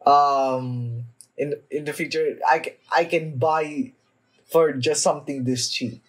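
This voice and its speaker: A young man giving casual, off-the-cuff reaction commentary, halting and sweary, often repeating his phrases. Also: on the high side, steady tone, slightly rough. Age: young adult. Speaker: reaction video host. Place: small room indoors at home.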